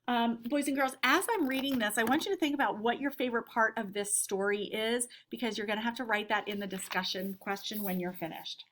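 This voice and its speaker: Higher-voiced American woman teaching children. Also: a little fast, few pauses, fairly bright tone, slight background noise. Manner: simple, clearly phrased questions to pupils. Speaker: elementary school teacher. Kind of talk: instructional read-aloud for children.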